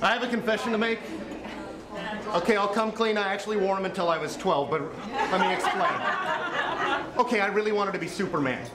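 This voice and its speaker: Emphatic man, higher-pitched, in an echoey room. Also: a little fast, a loud crowd. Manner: emphatic, earnest, repeats words for effect. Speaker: performer of a comic monologue. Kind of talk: confessional comic monologue. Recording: echoey room with clear background noise.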